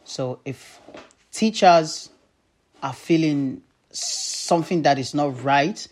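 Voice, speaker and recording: A man's voice: a little high, slow pace. A man from Africa, interviewing a guest with long, probing questions. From West Africa.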